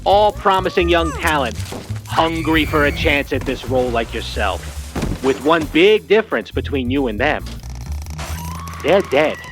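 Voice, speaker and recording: An actor playing a wary, slangy Hollywood agent in a hard-boiled 1940s-style noir audio drama. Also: male voice, on the high side, expressive, a little slow, music in the background. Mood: grave warning. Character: wary fast-talking old Hollywood talent agent, man.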